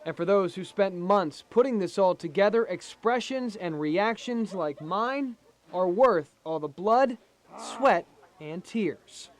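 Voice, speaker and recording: A man's voice: high-pitched, lively intonation, slow pace. A man with an American accent delivering scripted television news narration as a reporter. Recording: faint background noise.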